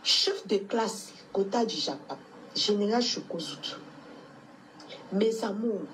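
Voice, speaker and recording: A woman's voice: mid-range voice, lively intonation, slow, a little hiss or hum, poor audio. A woman with a cold, coughing now and then, talking to her fans in a motherly, scolding way on a live stream. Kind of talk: casual live stream address.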